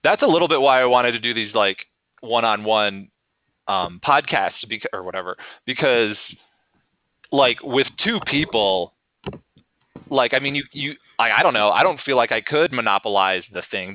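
A man hosting a casual podcast about improv who talks in an animated, rambling way with frequent restarts. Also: medium pitch, normal speed, no room sound. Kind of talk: casual chat. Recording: tinny call audio, dry.

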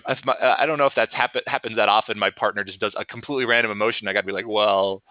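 A man hosts a casual podcast chat and rambles in an animated way, often restarting his thoughts. His voice is a little low for a man. The audio is tinny and dry, like a video call. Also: racing, no echo. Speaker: podcast host, improviser.

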